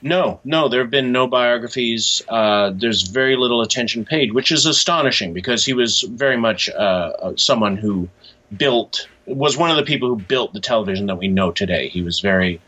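A man's voice: mid-range voice, normal speed, pushed and bright, muffled recording. A man speaking as an interview guest, reflective and hesitant, often restarting his phrases.

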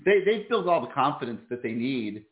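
A man hosting a sports call-in show, talking casually with many fillers, his voice going hoarse. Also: medium pitch, normal speed, reverberant, phone-quality sound. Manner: relaxed, many fillers and restarts. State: losing his voice. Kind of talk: casual call-in radio talk.

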